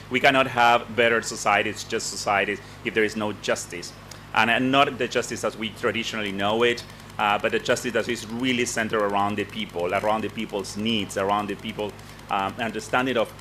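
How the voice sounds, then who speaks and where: A lowish, forceful male voice with an Argentine accent, that of a native Spanish speaker. He gives a semi-formal conference speech off the cuff, repeating words as he hunts for phrasing. There is some faint noise behind him. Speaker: program director giving a launch speech. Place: conference event with participants.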